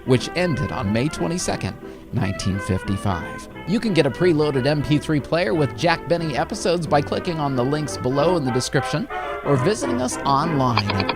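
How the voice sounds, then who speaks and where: A man reads a scripted radio-history introduction in an even, promotional manner. His voice moves with a lively rise and fall at a middling pitch. Loud music plays behind him. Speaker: host of a radio-history channel. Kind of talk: scripted narration.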